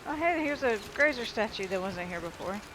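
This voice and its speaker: A woman's voice: fairly high pitch, expressive, fairly quick, background noise. A woman giving casual gameplay commentary as she plays through a video game. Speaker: let's play gamer. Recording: game music behind voice.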